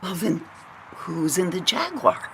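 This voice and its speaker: An actress playing a practical, plain-spoken assistant to a detective in a radio mystery, full of questions. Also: female voice, fairly low pitch, big pitch swings, slow, a bit bright, exaggerated voice. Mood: curious. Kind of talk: radio mystery drama acting. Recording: noisy radio drama recording.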